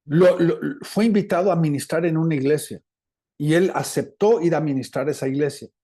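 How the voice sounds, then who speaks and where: A male preacher with a Latin American Spanish accent and a fairly high, mellow voice. He teaches in sermon style, speaking deliberately and circling back over phrases to stress them. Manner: deliberate, repeats phrases for emphasis. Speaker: preacher, apostle.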